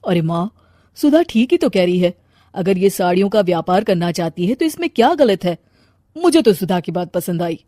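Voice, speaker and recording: A woman's voice: lowish voice, sing-song, normal speed, warm tone, no room sound, clean studio sound. A woman playing a supportive, reassuring young husband and son in a cartoon story, speaking confidently. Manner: confident, encouraging. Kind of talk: cartoon moral-story acting.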